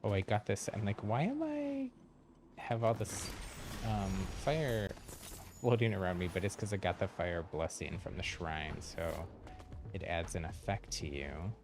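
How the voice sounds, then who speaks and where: A man's voice: low-pitched, sing-song, a little slow, vocal fry, music in the background. A man giving casual live gaming commentary as a streamer, chatting in an easygoing way and thinking aloud. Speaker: game streamer.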